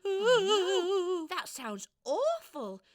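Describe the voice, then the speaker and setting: A gentle, curious female traveller in a children's radio play. Her voice is high and very lively in pitch, with an earnest, hesitant, good-natured manner full of questions. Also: drawn-out words, full character voice. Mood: sympathetic.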